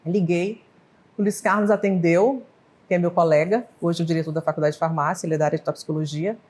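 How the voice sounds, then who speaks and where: A woman's voice: lowish voice, fairly even intonation, fast talker, darkish tone. A woman in her fifties from Brazil, telling her life story in an interview, rambling and correcting herself with short laughs. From Brazil (Goiás / northwest Minas Gerais). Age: 50s. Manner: rambling, hesitant with self-corrections and restarts; laughs lightly at her own slips. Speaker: professor of pharmacy, interviewee. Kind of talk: oral history interview.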